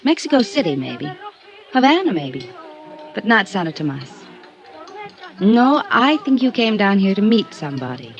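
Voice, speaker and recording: An actress playing a bored, flirtatious vacationer, coy, wry and worldly, in 1950s radio noir style. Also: female voice, medium pitch, very animated, normal speed, pauses often, soft background music. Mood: teasing, flirtatious. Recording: dull vintage radio recording.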